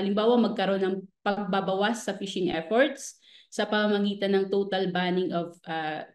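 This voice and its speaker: Filipino woman explaining in an echoey room. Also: mid-range voice. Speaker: government fisheries professional being interviewed. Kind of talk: explanatory interview answers mixing technical terms. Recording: roomy, echoing voice.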